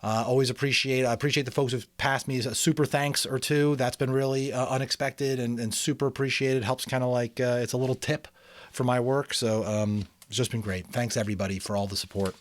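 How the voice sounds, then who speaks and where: A man hosting and narrating an audiobook, with expressive, deliberate phrasing and a casual, amateur storyteller's delivery. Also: medium pitch, no room sound. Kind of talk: dramatic storybook reading with casual asides.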